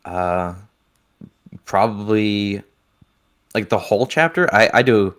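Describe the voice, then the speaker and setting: A man's voice: fairly low pitch, expressive, slow, a bit bright. A man speaking as a podcast guest in casual, laid-back chat with short plain answers. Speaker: podcast guest, fan dub channel creator.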